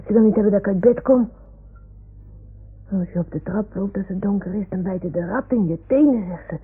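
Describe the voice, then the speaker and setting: Radio play role: imaginative little boy, earnest and very husky, on a thin, phone-like old recording. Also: mid-range voice. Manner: childlike, earnest storytelling. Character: imaginative little boy talking with his toy animals.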